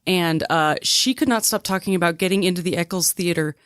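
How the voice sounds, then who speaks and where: A woman co-hosting a podcast, chatting in an upbeat way and telling a quick story. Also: fairly low pitch, no echo, studio quality. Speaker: podcast co-host. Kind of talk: casual chat.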